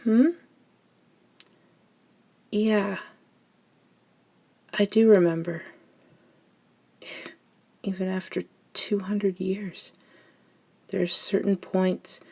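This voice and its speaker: Slow, hushed elder vampire woman, acted. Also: mid-range voice, heavily acted. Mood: gentle, reminiscing. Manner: soft-spoken, breathy near-whispers, measured pauses. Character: centuries-old female vampire elder, gentle and reflective mentor. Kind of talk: intimate ASMR roleplay monologue.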